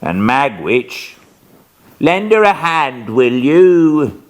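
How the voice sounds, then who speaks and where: A man's voice: mid-range voice, very animated, extremely slow, pitched above the performer's own voice. A man voicing a cowardly, scornful schemer who talks sneeringly and impatiently.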